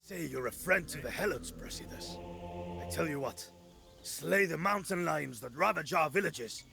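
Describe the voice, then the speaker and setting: An actor playing a cajoling village smith in a historical video game, talking in a familiar, bantering way. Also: male voice, on the high side, expressive, normal speed, vocal fry, over music. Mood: wry bargaining. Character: chummy, cajoling village smith bargaining with a warrior.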